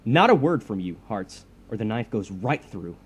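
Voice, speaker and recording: A man's voice: on the low side, sing-song, normal speed. A man playing a theatrical, distrustful supervillain in an audio drama, sardonic and threatening. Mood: menacing. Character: theatrical, distrustful, menacing supervillain, quick to violence. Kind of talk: audio drama villain acting. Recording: clear background noise and ambience.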